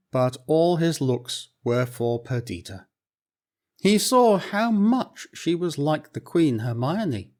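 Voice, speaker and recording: A man reading a storybook aloud in a clear, expressive way. His pace is slow and unhurried. His voice sits a little high for a man.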